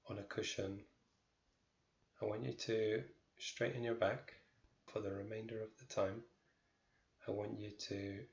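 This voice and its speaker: A man leads a guided meditation in a fairly low, even voice with little melodic movement. He speaks in short, measured phrases and leaves pauses between them. The recording is roomy and somewhat muffled. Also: vocal fry. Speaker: meditation teacher.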